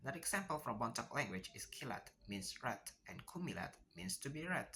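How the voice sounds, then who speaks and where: A native Indonesian speaker presents a class lesson, reading examples in a steady, explaining way. The voice is bright and the pitch lively. Faint noise sits behind it. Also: a little fast, creaky. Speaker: student presenter.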